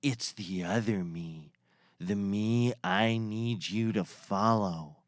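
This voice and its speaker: An actor playing a secretive male mad scientist in a scripted comedy, with drawn-out, deliberate phrasing. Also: on the low side, dry recording, studio quality. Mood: scheming. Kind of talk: scripted comedy audio drama.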